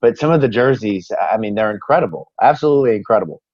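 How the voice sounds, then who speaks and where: A man with a fairly low voice hosts a sports podcast in a casual interviewing style. The sound is very dry with no room echo, and a bit dull and lo-fi. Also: expressive, fast talker, darkish tone.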